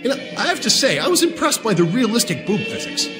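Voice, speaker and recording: A man playing a goofy, brash, excitable clone henchman in a video game. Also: sits high, quick pace. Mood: giddy. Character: excitable, high-voiced, dim goofy male clone. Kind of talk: video game character acting. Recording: music behind the voice.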